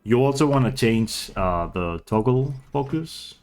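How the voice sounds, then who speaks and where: A man giving a casual, unscripted game tutorial as a speedrunner, with a hesitant, halting delivery that often trails off. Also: mid-range voice, lively intonation, darkish tone, faint music behind.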